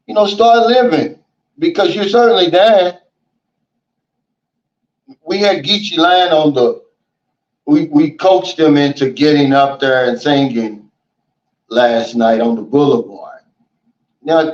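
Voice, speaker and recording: A man hosting a casual livestream, reading out viewer comments and chatting in a relaxed, folksy way, often saying "man" and "you know". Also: a little high, unhurried, pauses often. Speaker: livestream host. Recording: roomy and dull, lo-fi.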